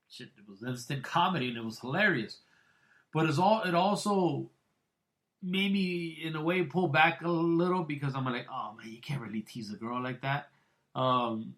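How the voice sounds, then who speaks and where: A man's voice: mid-range voice, a bit bright. Rambling male podcast host, blunt talk. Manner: rambling, many restarts and fillers, blunt and crude. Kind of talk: casual boxing podcast commentary.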